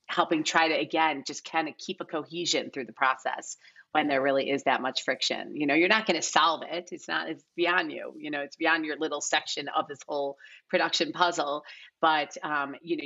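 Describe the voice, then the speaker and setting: A woman's voice: deep voice, vocal fry, sharp tone, breathy edge. A middle-aged American woman chatting casually and ramblingly as a guest in an interview over a video call. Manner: rambling, peppered with "like" and "you know". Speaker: casting director, podcast guest. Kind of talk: casual, unscripted interview chat. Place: bright living room at home. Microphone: video call, wearing headphones. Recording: slightly dull, lo-fi video-call audio.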